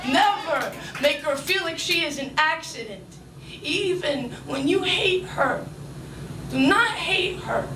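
A woman's voice: extremely high pitch, expressive, slow pace. A young woman in her twenties performing spoken word poetry on a small club stage with rhythmic, emphatic delivery. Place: small club stage under red lights. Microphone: stage mic on stand. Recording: roomy live sound from a distance, noisy.

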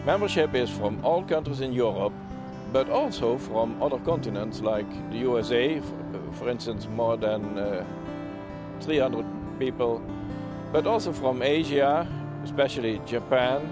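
A man in his sixties, formally presenting a medical society outdoors in front of a convention center, measured and a little hesitant. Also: medium pitch. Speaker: society chairman presenting the organization. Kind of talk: scripted promotional address. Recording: speech over background music. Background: background music.

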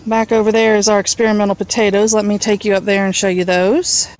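A woman's voice: medium pitch, little pitch movement, fast, darkish tone, poor audio. A woman giving a casual, off-the-cuff tour of her garden as a home gardener, talking outdoors in a backyard into a handheld camera. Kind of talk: casual off-the-cuff vlog narration. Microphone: handheld camera mic, held by speaker. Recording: clear outdoor ambient noise, handheld camera.

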